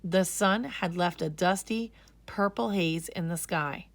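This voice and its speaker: A woman narrating a children's story aloud in an expressive, measured way. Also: lowish voice, no room sound.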